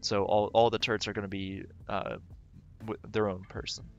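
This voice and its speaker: A man streaming a game, rambling casually and thinking aloud with lots of fillers, his voice going raspy. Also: fairly low pitch, flat delivery, moderate pace, dull audio, soft background music. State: voice getting raspy. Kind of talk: casual gameplay livestream commentary.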